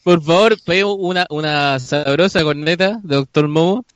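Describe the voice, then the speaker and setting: Male comedy host, Chilean accent, voice on the high side, casual and mock-solemn, repeats himself. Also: normal speed, dry recording, muffled recording. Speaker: host of a comedy improv show. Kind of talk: casual joking group chat.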